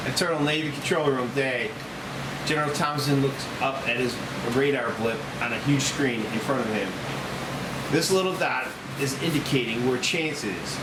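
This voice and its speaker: A man reading out stage directions as the narrator of a screenplay. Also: mid-range voice. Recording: distant mic, echoey room, loud noise.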